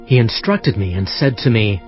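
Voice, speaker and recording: A man's voice: on the low side, very animated, normal speed. A man playing a devout, earnest Hebrew prophet in a dramatized audio Bible, with a fervent, reverent delivery. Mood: solemn, awed. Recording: slightly dull audio, music underneath. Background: background music.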